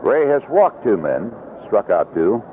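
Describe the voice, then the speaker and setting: Lively baseball announcer on noisy vintage radio, male. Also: on the low side, measured pace, phone-quality sound. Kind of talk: live radio sports commentary. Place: outdoor ballpark broadcast position.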